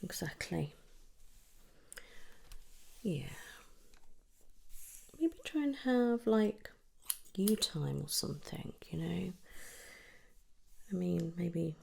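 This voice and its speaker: A British woman doing a gentle, chatty ASMR hairdresser roleplay, speaking softly and reassuringly close to the mic, often slipping into a breathy whisper. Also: on the low side, expressive, drawn-out words, long pauses, a little hiss or hum. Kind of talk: ASMR roleplay, one-sided casual chat. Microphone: close ASMR microphone.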